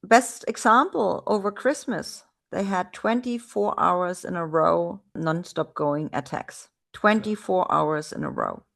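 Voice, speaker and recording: A woman from Germany with a German accent, hosting a relaxed video-call interview in English and talking in a chatty way with many restarts. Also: fairly low pitch, unhurried, gravelly, hardly any pauses. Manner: chatty, frequent restarts and fillers. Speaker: podcast host.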